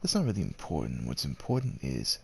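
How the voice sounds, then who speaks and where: Man voicing a very deep gravelly devoted werewolf suitor. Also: wildly swooping pitch, fairly quick, warm tone, heavily acted. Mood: tender. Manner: hesitant, tender, old-fashioned courtly phrasing with tag questions. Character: devoted, gentle, nervously jealous male werewolf suitor. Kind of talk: ASMR roleplay, acted monologue addressed to the listener.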